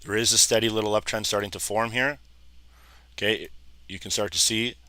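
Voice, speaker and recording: A man in his thirties, a little hoarse, casually talking viewers through live trading charts and calling them "guys". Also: lowish voice, steady tone, brisk, sharp tone, no echo. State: slightly hoarse, losing his voice. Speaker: trading educator. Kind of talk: live trading screen commentary.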